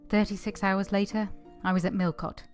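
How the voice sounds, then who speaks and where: A woman's voice: medium pitch, level tone, moderate pace, faint music behind, close to an everyday voice. A woman playing an earnest, steadfast young governess who tells her own story in a radio drama, restrained and sorrowful. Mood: sorrowful narration. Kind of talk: period radio drama narration and acting.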